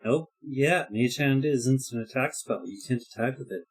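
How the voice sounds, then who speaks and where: A man running a tabletop roleplaying game as game master, giving casual rulings and narration in a halting way. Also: medium pitch, slightly rough, faint music behind.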